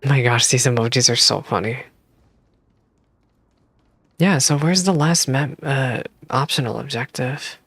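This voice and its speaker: A woman's voice: a bass voice, a little slow, pauses often, no echo. A woman streaming a game, casually reacting and reading the on-screen text aloud on a close microphone.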